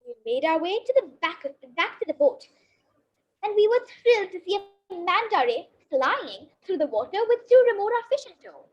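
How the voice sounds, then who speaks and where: A woman's voice: very high voice, very animated, normal speed, highs cut off. A female reader reading a children's picture book aloud in a careful way, now and then tripping on a word. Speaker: story reader.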